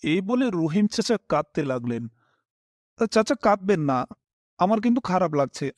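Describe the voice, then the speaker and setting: A young man tells a horror story as its main character. His voice sits high for a man and moves with lots of melody. He reads as a scripted, expressive storyteller, slipping into polite dialogue. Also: moderate pace, no room sound, clean studio sound. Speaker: first-person narrator and main character.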